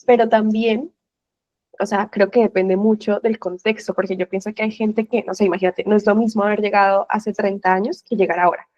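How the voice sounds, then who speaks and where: A woman's voice: medium pitch, fairly even intonation, brisk, a little mellow, dry recording. A woman in casual chat, talking emphatically and searching for words with lots of fillers, on a slightly muffled mic.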